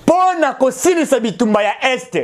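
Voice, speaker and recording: Congolese man, middle-aged, Congolese French accent, fervent commentator, high animated delivery. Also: normal speed. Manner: emphatic and insistent, with repetition and appeals to the audience. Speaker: political commentator. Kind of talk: impassioned direct-to-camera address.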